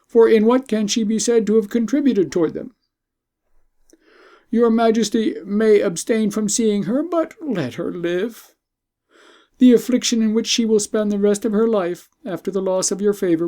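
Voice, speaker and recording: A man's voice: sits high, mellow, voice pushed up, natural voice. A man voicing an earnest male grand vizier who pleads formally with his emperor in a storybook reading. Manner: formal, imploring.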